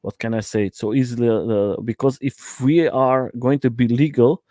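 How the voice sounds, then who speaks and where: Hesitant man from Portugal, halting English. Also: mid-range voice, steady tone, dark tone, no echo, clean studio sound. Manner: halting, searching for words, many fillers. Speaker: guest. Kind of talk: casual live stream chat.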